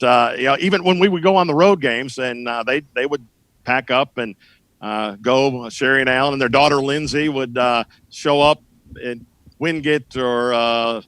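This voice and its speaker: A middle-aged man with a bright, forward voice at an ordinary mid pitch talks casually as a podcast guest. He reminisces in anecdotes, often halting to find the next phrase. The sound is close and dry, with very little room around it. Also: no room sound. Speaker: sports broadcaster being interviewed as a guest.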